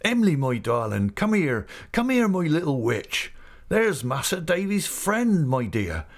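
Man playing an overjoyed, rustic old seafaring uncle, animated. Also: fairly high pitch, unhurried, voice pushed up, exaggerated voice. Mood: jubilant, tender. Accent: East Anglian English rustic dialect. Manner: rapturous, effusive, breathlessly excited. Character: overjoyed rough old seafaring uncle, humble, big-hearted. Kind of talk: character voice in audiobook reading.

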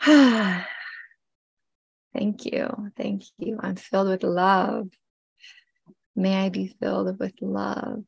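A woman of forty hosting a podcast, speaking gently and from the heart with many pauses while she gets over a cold. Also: medium pitch, fairly even intonation, vocal fry, slightly rough, no room sound. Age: 40s. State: congested, getting over a cold. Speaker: podcast host. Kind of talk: personal reflective podcast talk.

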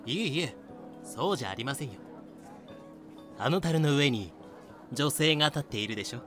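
A man's voice: medium pitch, expressive, normal speed, fairly bright tone, faint music behind, close to an everyday voice. A man playing a courteous, helpful male assistant explaining things in a fan-made audio drama. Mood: polite. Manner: polite, helpful.

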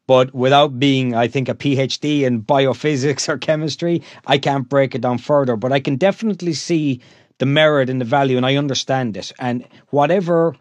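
A man's voice: medium pitch, no room sound. A man hosting an interview podcast, musing at length in a rambling way with frequent "you know". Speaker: podcast host. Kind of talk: casual interview talk.

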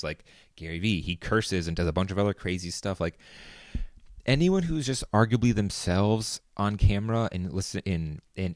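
A man's voice: deep voice, no echo, studio quality. A young man hosting his own podcast, talking alone in a casual, rambling way with lots of fillers. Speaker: podcast host. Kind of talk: solo podcast monologue.

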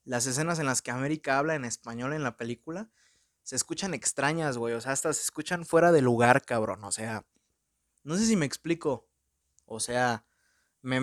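Young mexican dude with a mexican accent doing a movie review from his room, super slangy, lots of swearing and filler, dry close sound, mid pitch. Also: dry recording. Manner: slangy and profane, constant filler words. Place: room at home in front of a window.

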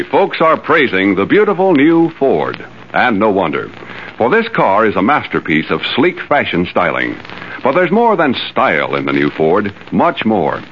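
A man delivering a polished, emphatic scripted commercial in 1950s radio announcer style. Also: mid-range voice. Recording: dull, hissy old radio recording.

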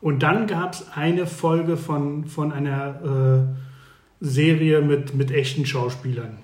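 A man chatting casually as a podcast co-host, reminiscing haltingly and often checking that his listener follows. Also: on the high side, steady tone, a little mellow. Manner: halting, searching for words, seeks confirmation. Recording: echoey room.

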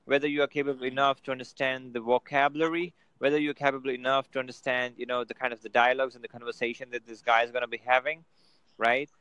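A man's voice: medium pitch, level tone, fast, fairly bright tone, clear, resonant tone, no room sound, low-quality recording. A man giving casual motivational advice on a video call, filling his talk with "you know" and "right?". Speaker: guest.

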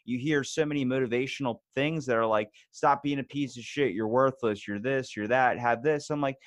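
A man talks as a podcast guest, blunt and fired-up, swearing freely in casual conversation. His voice sits at an ordinary middle pitch. The sound is close and dry, with no room echo. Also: moderate pace.

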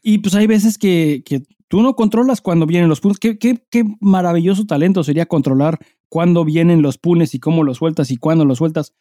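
A man's voice: a little high, normal speed, hardly any pauses, dark tone, dry recording, clean studio sound. A Mexican man co-hosting a comedy podcast, chatting casually with vulgar slang and crude storytelling. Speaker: podcast co-host.

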